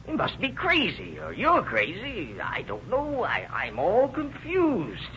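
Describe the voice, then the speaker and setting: An actor playing a nervous, evasive husband who feigns innocence with hesitant stammering, in old-time radio crime drama style. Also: male voice, high-pitched, wildly swooping pitch, takes it slowly, bright, forceful tone, exaggerated voice. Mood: panicked. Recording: muffled, noisy old radio recording.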